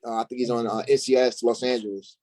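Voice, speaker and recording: A man speaking as a guest in a casual interview, halting a little as he thinks aloud. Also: medium pitch, level tone, warm tone, no echo. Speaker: interview guest, stage actor.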